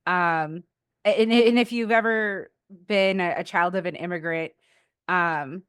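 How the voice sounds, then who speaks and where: A woman in her thirties talks easily as a podcast guest, stopping and restarting often and reaching for her words with fillers. Her voice sits a little low and comes through smooth and clear. The sound is close and dry, with no room around it. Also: unhurried, fairly bright tone, no room sound. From Philippines. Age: 30s. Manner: chatty, with frequent fillers and restarts. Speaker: guest, defense contractor and Air Force veteran. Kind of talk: casual interview conversation.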